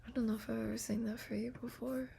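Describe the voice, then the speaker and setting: A young woman streamer whispering slowly and softly in a relaxed sleep stream, talking into a laptop microphone. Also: fairly high pitch. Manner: soft unvoiced whisper, slow and breathy. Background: guitar.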